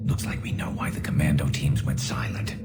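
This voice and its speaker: A battle-weary alien soldier in a video game. His voice sits extremely low and his delivery is dry, with loud music playing behind him. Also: steady tone, a little fast, in a whisper. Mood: grim, wry.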